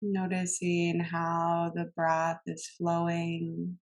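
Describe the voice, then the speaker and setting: A woman instructor leading a guided meditation in a calm, gentle manner, giving soothing cues with long pauses. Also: on the low side.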